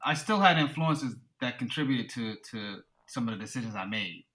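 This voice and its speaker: Bright-toned thoughtful American man. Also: mid-range voice, animated, normal speed. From United States. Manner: thoughtful, leans on "you know". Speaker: podcast guest. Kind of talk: casual conversation.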